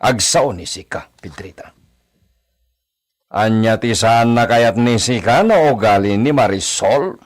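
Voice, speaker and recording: A man's voice: fairly low pitch, lively intonation, unhurried. A man playing a wealthy, doting father and villa master in a radio comedy drama, theatrical and fond of Spanish exclamations. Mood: calm, authoritative.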